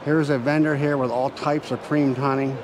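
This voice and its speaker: A man hosting a walking tour of a convention hall, narrating in a relaxed, plain-spoken way while filming. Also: mid-range voice, moderate pace. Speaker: channel host. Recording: noisy trade show hall.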